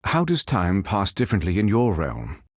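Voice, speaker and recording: A calm android superhero for a video game. The voice is low and lively in pitch, recorded very dry. He speaks with formal, precise, logical phrasing, like a machine of noble purpose. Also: moderate pace, no room sound, full character voice. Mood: measured, analytical. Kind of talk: video game character voice lines.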